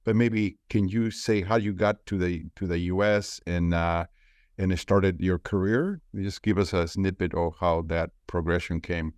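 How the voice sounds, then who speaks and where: A man from Peru hosts a conversation podcast in a very deep voice. He talks loosely and haltingly, often restarting his sentences and leaning on "you know" and "uh". The recording is dry and close. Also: fairly even intonation, a little mellow, no echo. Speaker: podcast host, investment firm co-founder. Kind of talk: conversational interviewing.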